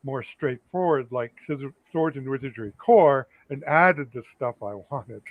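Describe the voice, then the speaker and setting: A man's voice: mid-range voice, normal speed. Man over tinny, phone-like call audio. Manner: asks detailed rule questions. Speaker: guest. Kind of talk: casual gaming chat.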